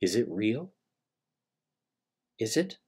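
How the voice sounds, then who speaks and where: A middle-aged man with a Canadian accent reading a dramatic first-person monologue in a theatrical, suspenseful way with deliberate pauses. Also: lowish voice, big pitch swings, darkish tone, husky. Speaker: playwright reading his own play. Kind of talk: dramatic autobiographical monologue, storytelling.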